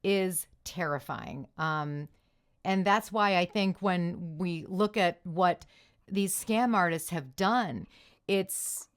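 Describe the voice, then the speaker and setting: A woman with a somewhat low voice hosts a casual podcast. She speaks reflectively and builds on her guest's points, often backing her up with quick agreement. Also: clean tone. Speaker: podcast host.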